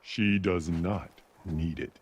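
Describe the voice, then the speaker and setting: Very deep, solemn warrior chief. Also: male voice, a little mellow, muffled recording, slight background noise. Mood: stern. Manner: grave, formal, measured. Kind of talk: dramatic video game acting.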